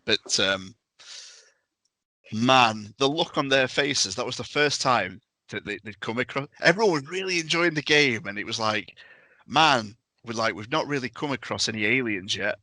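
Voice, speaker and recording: Bright, forceful man telling stories. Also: medium pitch, expressive, normal speed, no echo. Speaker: podcast panellist and tabletop game master. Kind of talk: casual storytelling.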